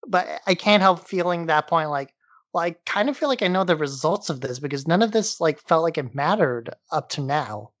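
A man's voice: on the high side, expressive, normal speed, fairly bright tone. Casual male podcast co-host, chatty phrasing. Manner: peppers speech with "like". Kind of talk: casual comic book discussion.

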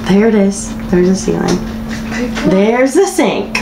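A woman's voice: mid-range voice, expressive, slow, gravelly, very noisy. A young woman in her twenties chatting upbeat to a handheld camera on a road trip vlog. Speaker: vlogger. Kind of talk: casual travel vlog. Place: indoors and outdoors on a road trip.

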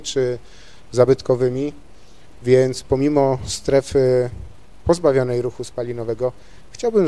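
A man speaking on a panel discussion as a vehicle designer, articulate and measured, pausing on "e" fillers. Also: mid-range voice, dark tone. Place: large university lecture hall.

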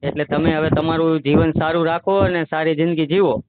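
A man's voice: fairly high pitch, steady tone, brisk. Man advising over a noisy phone line. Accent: Kathiawadi Gujarati. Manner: explanatory, often checking the listener understands. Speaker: ashram holy man giving advice. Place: phone call.

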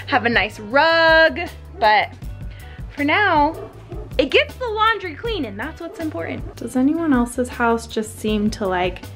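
A woman's voice: high-pitched, a bit bright. A woman in her thirties chatting casually to viewers as she vlogs in her home, asking them questions in a conversational way. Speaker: vlog host. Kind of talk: casual chat to camera while cleaning. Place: inside a home, kitchen and laundry area. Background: background music.

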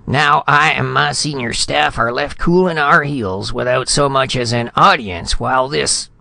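A man's voice: mid-range voice, animated, normal speed, gravelly, sharp tone, no room sound, studio quality, pitched above the performer's own voice, exaggerated voice. A man voicing a pompous, choleric senior ambassador in a storybook reading, blustering and sputtering in formal phrases. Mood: outraged.

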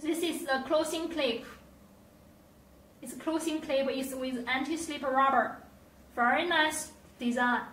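A woman presenting a scripted product demonstration, with careful, halting, non-native phrasing. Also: sits high, fairly even intonation, fairly bright tone. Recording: roomy echo, distant mic, faint noise.

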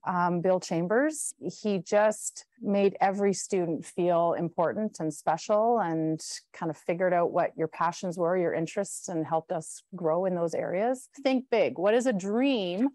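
A woman's voice: fairly low pitch, measured pace, hardly any pauses, warm tone, no echo. A Canadian woman in her forties, a teacher, speaking plainly in interview and classroom clips. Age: 40s. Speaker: school teacher.

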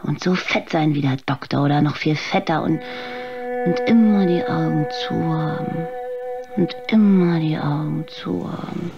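A woman's voice: low-pitched, a little slow, vocal fry, highs cut off, loud music behind the voice. An actress playing a bitter, exhausted mother and tavern waitress in a radio play, weary and sarcastic. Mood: exhausted, dreamy. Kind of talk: radio play acting, dramatic monologue.

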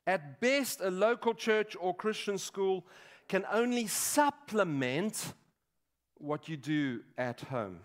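A man in his sixties preaching a sermon in a deliberate, emphatic way, pausing between short phrases. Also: high-pitched, lively intonation. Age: 60s. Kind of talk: sermon with statistics and teaching. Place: large dim auditorium stage at a lectern.

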